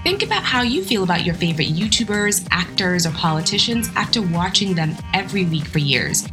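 A woman's voice: lowish voice, sing-song, fairly quick. Woman podcast host over music. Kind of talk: scripted podcast intro and conversational interview.

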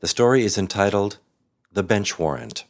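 A man's voice: fairly low pitch, very animated, moderate pace. A man speaking as host and interviewer in a conversational presenting style. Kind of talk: conversational program hosting.

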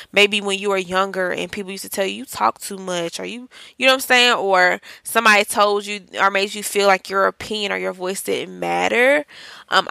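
Woman podcast host, bright, gravelly voice, chatty and encouraging, dry close mic. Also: mid-range voice, no echo. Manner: chatty and encouraging, addresses listeners as y'all and child. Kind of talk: casual faith-based podcast talk.

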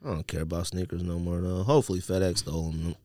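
A man's voice: deep voice, fairly even intonation, fast, a little mellow, no echo, clean studio sound. An American man hosting a casual sneaker podcast, talking in slangy, opinionated banter and repeating himself for emphasis. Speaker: podcast host.

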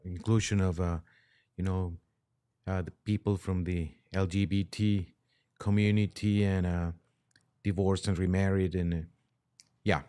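A man's voice: low-pitched, flat delivery, mellow, smooth. A man whose native language is Spanish, speaking hesitantly as a priest guest in a conversational podcast. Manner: hesitant, halting. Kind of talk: conversational commentary.

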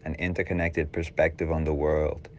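A man's voice: extremely low pitch, little pitch movement, moderate pace, a little mellow, dull audio, slight background noise. A man hosting a podcast, reading an introduction and then asking casual questions. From Ireland. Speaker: podcast host.

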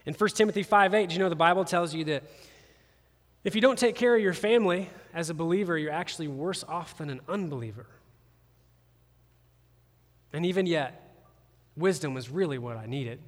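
Lively joking pastor in a big hall. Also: male voice, fairly high pitch, moderate pace, long pauses. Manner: animated, joking, conversational. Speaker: campus pastor. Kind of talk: sermon. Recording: long reverb of a large hall.

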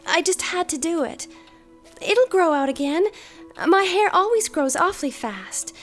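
An actress playing a devoted young newlywed wife, earnest and hesitant, in a radio drama. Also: female voice, very high-pitched, lively intonation, measured pace, fairly bright tone, soft background music, exaggerated voice. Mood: pleading. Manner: hesitant, earnest, breathlessly eager. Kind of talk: stage-style radio drama acting.

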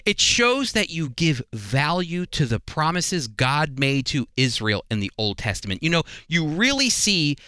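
A man with a crisp, forceful voice delivers Bible teaching. His pitch sits a bit high and moves in lively swings as he explains each point emphatically and puts questions straight to the listener. The sound is dry and close. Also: a little slow, few pauses, dry recording. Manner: emphatic, explanatory, rhetorical questions and folksy direct address. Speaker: Bible teacher and programme host.

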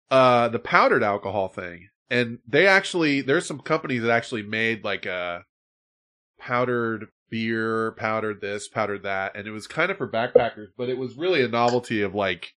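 A man's voice: medium pitch, lively intonation, moderate pace, fairly bright tone. A man hosting a podcast in casual chat, rambling, swearing freely and laughing often. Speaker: podcast host.

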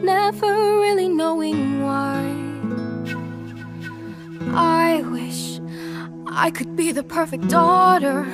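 A woman's voice: extremely high pitch, drawn-out words, long pauses. A woman singing a musical-style pop ballad. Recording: produced song with full music.

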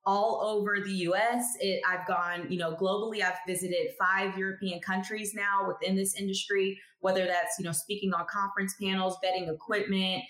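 Expressive woman in a roomy space. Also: medium pitch, normal speed, few pauses. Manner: expressive storyteller who acts out quoted people. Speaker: guest, lab chemist. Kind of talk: casual interview talk. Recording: roomy echo.

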